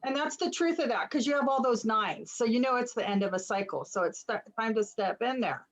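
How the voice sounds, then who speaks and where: A woman's voice: fairly high pitch, a little fast. A woman giving a casual, conversational reading as a tarot reader over a video call, reassuring and encouraging. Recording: slightly muffled video call audio.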